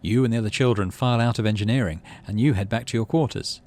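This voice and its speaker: A man hosting a let's play, reading a story game aloud with expressive delivery and muttering asides about his moves. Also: lowish voice, fast.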